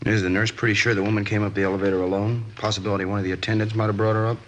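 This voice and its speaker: An actor playing an inquisitive, businesslike police detective in a 1950s American radio drama, with clipped delivery. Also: male voice, lowish voice, little pitch movement, racing, fairly bright tone, natural voice. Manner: clipped, questioning. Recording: faintly noisy old radio recording.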